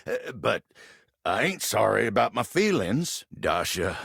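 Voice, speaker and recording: Deep, gravelly, gentle cowboy. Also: male voice, sing-song, no echo, studio quality, full character voice. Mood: earnest, lovestruck. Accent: American Western drawl. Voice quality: gravelly, husky. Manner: folksy, bashful, courteous, halting. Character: gentle, lovestruck male cowboy, bashful and folksy. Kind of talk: video game character voice acting.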